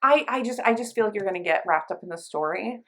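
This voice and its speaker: A woman's voice: medium pitch, lively intonation, fast. Gushing, emphatic woman chatting about books. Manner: gushing, emphatic, informal with mild slang. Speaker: book reviewer, channel host. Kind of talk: casual chat to camera, book review.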